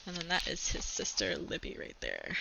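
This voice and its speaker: A woman's voice: on the low side, huge pitch swings, moderate pace, creaky, heavy background noise. A woman reading a children's book aloud and explaining it casually to young viewers.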